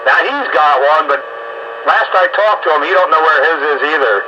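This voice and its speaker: A man's voice: on the high side, expressive, fairly quick. Man on a muffled, noisy radio link. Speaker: amateur radio hobbyist. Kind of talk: casual chat over two-way radio.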